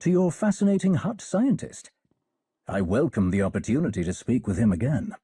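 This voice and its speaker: A man's voice: medium pitch, big pitch swings, moderate pace, dark tone, breathy edge, no echo, clean studio sound. A man playing an eager, courteous scholar in a game cutscene, speaking with polished, formal phrasing. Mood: courteous, intrigued. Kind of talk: video game cutscene acting.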